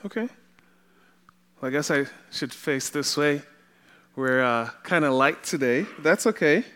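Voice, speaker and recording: Slow-speaking Trinidadian man preaching. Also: on the high side, lively intonation, pushed and bright, clean tone. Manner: slow, storytelling, often laughing. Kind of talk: sermon with personal stories. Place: church sanctuary.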